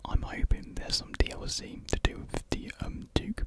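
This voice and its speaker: A young man speaks in a breathy whisper close to the microphone, rambling softly and hesitantly in a casual ASMR chat. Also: moderate pace. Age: young adult. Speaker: ASMR video creator. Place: small room with painted block walls. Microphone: large desktop USB condenser microphone, close up.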